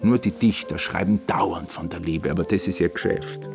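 A man's voice: low voice, moderate pace, vocal fry, music in the background. An actor in a radio play voicing a weary, evasive married man who is cynical about love, dismissive and brooding. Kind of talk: German-language radio play acting.

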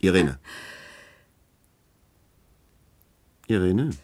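An actor playing a commanding, dryly ironic hospital doctor in a German radio drama, brisk and authoritative in manner. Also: male voice, low-pitched, very animated, a little mellow. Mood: persuasive. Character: worldly male hospital doctor, commanding, wry, calculating.

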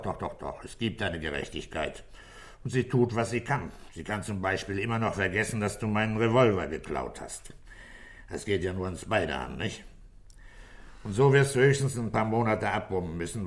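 A middle-aged police inspector in a radio crime drama. His voice sits low, and he speaks in a measured, dry, fatherly manner, probing calmly.

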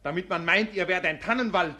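A blustering, sly village schoolmaster in a 1950s radio play. He sounds a little tipsy and hectors his peasants in a fairly high voice. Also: brisk, bright, forceful tone. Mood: mocking.

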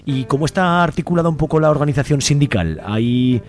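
A man's voice: fairly high pitch, animated, a little fast, faint music behind. A man with an accent from Spain hosting a conversational radio interview, informal and rephrasing his questions as he goes.

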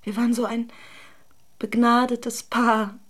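A fervent, despairing mistress in a radio drama. Her voice is on the high side and often slips toward a breathy half-whisper. She is intimate and full of emotion, adoring her lover. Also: flat delivery, slow, a bit bright. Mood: fervent, hopeful. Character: adoring, despairing woman in a secret love affair.

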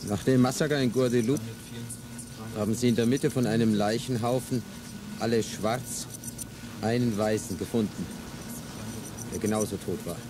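A man's voice: lowish voice, moderate pace, pauses often, noisy background, lightly acted. An actor playing a sardonic, fatalistic rebel man, speaking bluntly in a radio play. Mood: fatalistic, mocking. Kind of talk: German radio play dialogue. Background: music.

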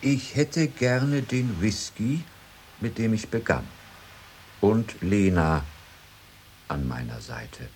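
An actor in a German radio drama playing a melancholy, courteous sea captain with a formal way of speaking. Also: male voice, lowish voice, expressive, takes it slowly, long pauses, a little mellow, slight background noise. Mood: wistful.

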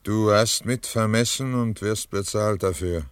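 Man acting a deep, slow, solemn old chief. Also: smooth, no room sound, distant mic, lightly acted. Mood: grave, questioning. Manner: solemn, deliberate, reproachful. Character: dignified older Apache chief, grave and stern father. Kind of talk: German radio drama acting.

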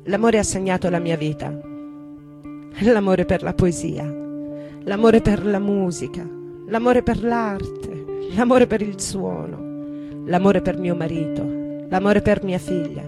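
Woman acting female rock poet, dramatic monologue, slow deliberate phrasing, tender, defiant, intense, guitar music behind. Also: medium pitch, vocal fry. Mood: earnest, reflective. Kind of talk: dramatic monologue and poetry reading over guitar. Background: guitar playing.

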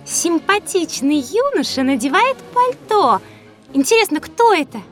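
A woman's voice: very high-pitched, very animated, unhurried, soft background music, exaggerated voice. A woman playing a curious, chatty little girl in a children's audio drama.

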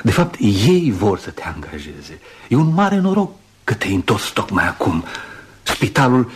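An actor in a radio play voicing a brisk, bossy friend, chatty, ironic and insistent, on a noisy old recording. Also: male voice, fairly low pitch, sing-song, husky, exaggerated voice. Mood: eager, persuasive. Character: brisk, teasing, bossy male friend of the doctor. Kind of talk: Romanian radio theatre acting.

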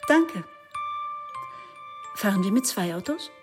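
A woman's voice: medium pitch, sing-song, slow, music in the background. An actress playing an elegant middle-aged woman, composed and tactful, in a radio drama.

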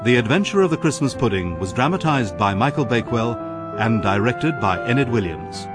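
A man's voice: mid-range voice, sing-song, brisk. A man reading scripted credits as a radio announcer. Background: jazzy music.

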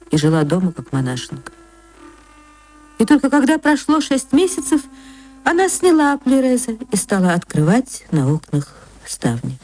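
A woman actress narrating a literary story for radio in a measured, theatrical storytelling manner. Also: medium pitch, a little mellow.

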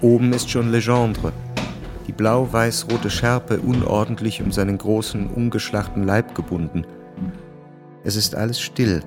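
A man narrating a radio drama in a calm, measured way. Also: lowish voice. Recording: music bed under narration.

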